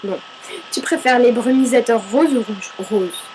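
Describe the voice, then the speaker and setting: A woman from Paris with a fairly high voice, reading out viewer questions and answering them in a loose, chatty way. She mocks herself, swears now and then and answers her own remarks. A faint hum sits under her voice. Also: a little slow, darkish tone, poor audio. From Paris, France. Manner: chatty and self-mocking, argues with herself, swears casually. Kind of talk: casual FAQ chat to camera.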